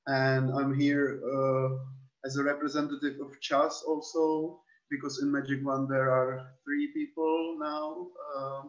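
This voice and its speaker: A Czech man speaks in a slow, flat voice as he introduces his outreach work with young people. He often searches for words in the language he is speaking. The recording sounds dull and lo-fi. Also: mid-range voice. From Czech Republic. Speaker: youth outreach worker.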